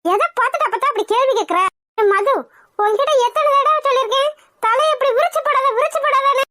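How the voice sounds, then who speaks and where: A woman's voice: very high-pitched, fast talker, pushed and bright, voice pushed up. A woman voicing a bossy, jealous old grandmother who nags and scolds. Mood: scolding. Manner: nagging, scolding. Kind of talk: comedy skit.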